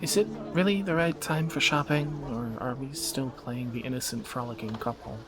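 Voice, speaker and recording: A man playing a teasing, protective captor turned lover in a romantic fantasy audio roleplay, bantering and soft-spoken. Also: medium pitch, moderate pace, noisy background, close to an everyday voice. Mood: teasing, curious.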